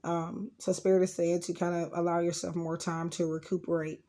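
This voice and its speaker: A woman hosting a casual, unscripted tarot card reading in a rambling, informal way, her voice a little rough from being sick. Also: on the low side, little pitch movement, moderate pace, dark tone. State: getting over sickness, slightly rough voice. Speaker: tarot card reader and channel host.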